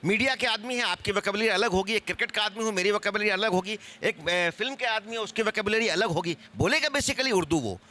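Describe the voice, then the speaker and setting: A man's voice: high-pitched, fast talker, few pauses, sharp tone. A man from India speaking as a panelist at a live seminar, explaining his points through everyday examples.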